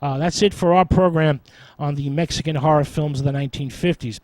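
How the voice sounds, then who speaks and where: Male radio host, somewhat high voice, scholarly and formal film commentary, halting delivery, very dry recording. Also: darkish tone, dry recording. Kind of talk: scripted film history commentary.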